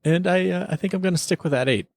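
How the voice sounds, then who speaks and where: A man's voice: high-pitched, fast, dry recording, clean studio sound. A man chatting casually and enthusiastically as a podcast host, rambling like a devoted fan through an episode review.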